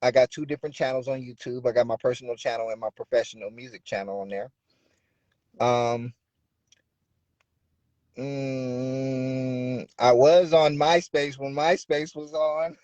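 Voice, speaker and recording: A man's voice: mid-range voice, steady tone, a little slow, pauses often, a little mellow, dry recording, highs cut off. A man in his thirties from the Bay Area, a rapper guest talking casually and often saying "you know what I'm saying". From Bay Area, California. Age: 30s. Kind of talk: casual interview talk.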